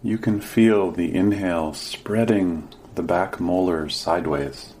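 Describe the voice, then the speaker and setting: A man leading a guided meditation as a teacher, giving gentle, sparse instructions with long pauses. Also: deep voice, a little hiss or hum.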